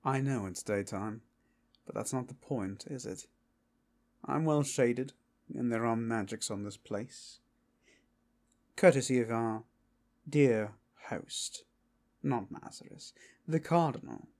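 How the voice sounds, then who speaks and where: A man's voice: medium pitch, slow pace, pauses often, darkish tone. A man voicing a cool, dangerous, inquisitive male vampire with a suave, teasing manner in a fantasy ASMR roleplay. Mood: suave, curious.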